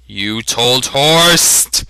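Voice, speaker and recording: A man's voice: medium pitch, expressive, very slow, pushed and bright, clean tone, dry recording, exaggerated voice. A man voicing a friendly, sturdy young man, a brotherly older cousin in a fantasy story. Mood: incredulous.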